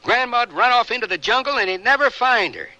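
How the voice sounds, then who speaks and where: An actor in a radio drama playing a bitter, haunted, volatile working-class Vietnam veteran with rough colloquial, defensive speech. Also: male voice, very high voice, animated, brisk, sharp tone, no echo, highs cut off, heavily acted. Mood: sardonic, agitated.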